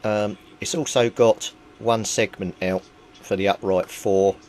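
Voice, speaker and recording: A man's voice: lowish voice, fairly even intonation, measured pace, a little mellow. A man giving an informal, methodical workbench explanation as a hobbyist repairer. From Britain. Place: small indoor home workbench. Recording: clearly noisy home recording.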